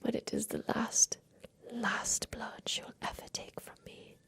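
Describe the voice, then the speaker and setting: Woman acting a slow, whispering secretive attic girl. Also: natural voice. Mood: tender, fearful. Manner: slow, hushed, deliberate, repeats phrases. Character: captive girl in a haunted attic, secretive, tender creator. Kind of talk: whispered ASMR roleplay.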